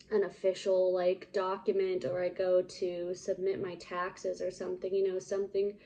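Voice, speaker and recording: A young woman talks loosely and casually, filling her sentences with likes and ums. Her voice is mellow and soft-edged, sitting at an ordinary middle pitch. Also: dull audio. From United States. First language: English. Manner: rambling, lots of "like" and "um". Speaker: vlogger. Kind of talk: casual chat to camera.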